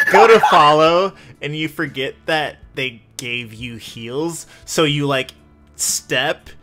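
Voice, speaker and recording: A man with a creaky voice working as game master, narrating a tabletop roleplaying game in a measured, descriptive way. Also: mid-range voice. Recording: faint background music. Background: soft music.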